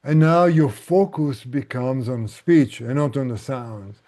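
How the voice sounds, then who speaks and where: A man hosting a casual online group session as a coach, explaining things in a gentle manner. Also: mid-range voice, a little slow. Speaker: host and tinnitus relief coach.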